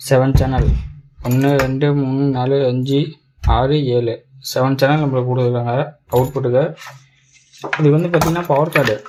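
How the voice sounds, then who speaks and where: A man's voice: medium pitch, fairly even intonation, moderate pace, long pauses. A man with a Tamil Nadu Tamil accent giving a casual product demo as a tech reviewer, explaining step by step in colloquial, repetitive phrases. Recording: clear background noise, clicks.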